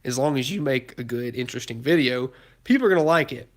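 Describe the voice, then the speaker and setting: A man's voice: medium pitch, quick pace. A young man hosting a casual live stream from his garage, a bit congested and losing his voice, rambling with lots of fillers and restarts. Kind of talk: casual live stream, answering chat questions. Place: cluttered home garage with a project car. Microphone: phone held while filming.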